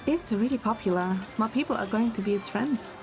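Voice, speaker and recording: Romantic game heroine: young woman, actress, higher register, affectionate, inquisitive, lightly teasing, clear music bed. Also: fairly quick, lightly acted. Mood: fond, teasing. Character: young woman film producer, fond, gently teasing girlfriend. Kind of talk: romantic game audio drama acting.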